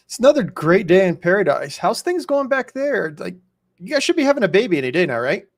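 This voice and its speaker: Fast-talking man with a higher voice. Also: big pitch swings. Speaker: podcast co-host. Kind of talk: casual banter.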